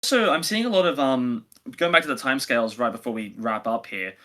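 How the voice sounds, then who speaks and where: A man's voice: medium pitch, brisk, a bit bright. A man co-hosting a review podcast, talking casually and conversationally as he gives his opinions.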